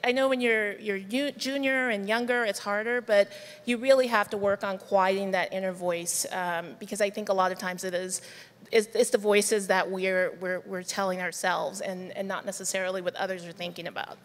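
A woman's voice: mid-range voice, fairly even intonation, normal speed. A woman on a conference panel speaking earnestly and emphatically with a wry laugh. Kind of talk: panel discussion. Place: large conference hall stage. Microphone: handheld mic. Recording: reverberant hall.

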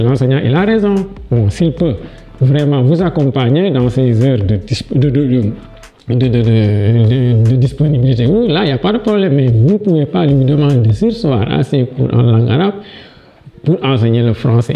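A middle-aged man with a Senegalese French accent, answering interview questions from his office with halting restarts and stories told with growing energy. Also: medium pitch, creaky, dark tone, muffled recording. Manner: halting restarts and repeated words, livelier when telling stories. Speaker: education official interviewed as guest. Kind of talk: interview answers, personal anecdotes. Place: office with large window, indoors. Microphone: lapel mic.

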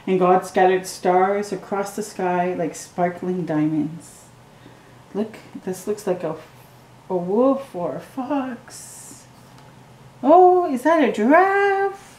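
A middle-aged woman reading a picture book aloud to children at home, slowly and gently, with excited asides to the viewer. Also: medium pitch, echoey room. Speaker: storytime reader. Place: living room at home.

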